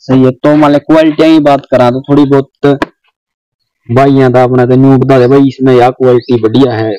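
A man's voice: medium pitch, little pitch movement, brisk, mellow, no room sound, dull audio. A young man with a Haryanvi accent, hosting a casual outdoor interview in a village animal yard and repeating each answer back. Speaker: livestock video host.

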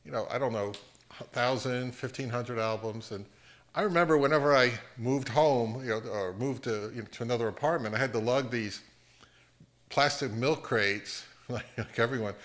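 A middle-aged man with a mid-pitched voice talks casually as a channel host. His delivery is measured and halting as he explains things at home. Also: fairly bright tone. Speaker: host of an audio hobby channel. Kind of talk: casual explainer to camera with some narration. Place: home living room.

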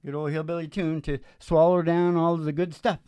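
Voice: mid-range voice, level tone, moderate pace, dark tone, clear, resonant tone, no room sound. A performer hosting a live acoustic country music show, with guitar in a lo-fi recording. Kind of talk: casual joking stage banter between acoustic country songs.

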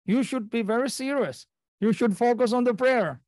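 Cheeky kid monk, told by a male teacher, a bit higher, plain voice. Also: normal speed, a little mellow, no room sound, studio quality. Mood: scolding. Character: cheeky small boy monk.

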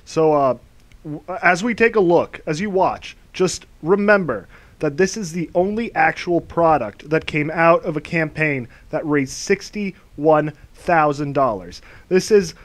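A man's voice: on the high side, lively intonation, measured pace. Dry, mocking male commentator. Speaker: video essay host. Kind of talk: sarcastic commentary.

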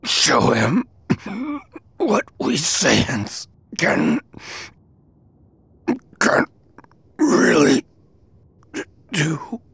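An actor playing a badly wounded alien warrior in an anime game, straining raspily to speak in broken, gasping phrases. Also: male voice, on the high side, sing-song, drawn-out words, pauses often, fairly bright tone, dry recording, exaggerated voice. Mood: desperate, fading. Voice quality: raspy, strained. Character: badly wounded, loyal male alien warrior, near death. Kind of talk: dramatic dubbed anime game acting. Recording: music under the voice. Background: music.

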